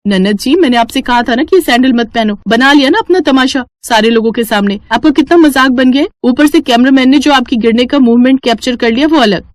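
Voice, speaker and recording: Woman as cartoon sister-in-law, young, sharp-tongued, mocking, in a raised high voice. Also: brisk, hardly any pauses, no room sound, clean studio sound, natural voice. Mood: scolding. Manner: mocking, needling.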